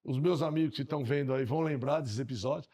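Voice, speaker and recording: A man from São Paulo with a Paulistano accent, hosting a podcast and telling relaxed stories with slang. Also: mid-range voice, quick pace. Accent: Paulistano Brazilian Portuguese. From São Paulo, Brazil. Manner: relaxed anecdotal talk with slang. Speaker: podcast host. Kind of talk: casual podcast chat.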